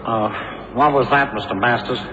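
A man's voice: medium pitch, steady tone, normal speed, lightly acted. An actor playing a jittery, evasive man in a 1970s radio drama, hesitating and stammering. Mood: nervous, evasive. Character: nervous, evasive male underling, jittery and timid. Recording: tinny old radio recording, loud noise.

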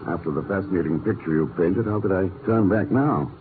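An actor playing a cool, sardonic secret agent in a 1950s radio drama, dry and unflappable. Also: male voice, sits low, a little fast, lightly acted. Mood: wry. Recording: tinny, noisy old radio broadcast.

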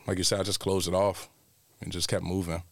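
A man co-hosting a podcast in casual chat, hesitant and quick to laugh. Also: deep voice, level tone, vocal fry, a bit bright, no echo, clean studio sound. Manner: hesitant restarts, laughs easily. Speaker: podcast co-host.

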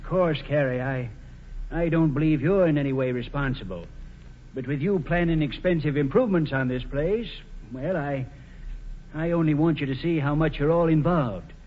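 An actor as a grave lawyer, slightly high-voiced. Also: male voice, lightly acted. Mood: grave, accusing. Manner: formal, deliberate, hesitant. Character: grave, measured small-town lawyer. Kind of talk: 1940s radio drama acting. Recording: muffled old radio broadcast.

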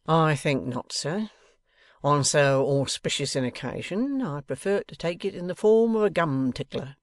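A man acts out a sly Victorian bully for an audiobook. The rogue sneers and blusters with impudent contempt, in rough colloquial phrases. The recording is dry and close. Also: fairly high pitch, moderate pace, no room sound, lightly acted. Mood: smug. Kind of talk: dramatised audiobook character voice.

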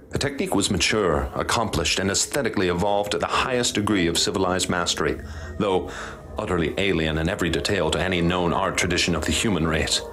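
An actor playing a haunted male professor, speaking in a formal, measured, ominous way in a radio drama. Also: sits low, a bit bright. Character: grave haunted male professor recounting horrors he witnessed. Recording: clear background noise and ambience.

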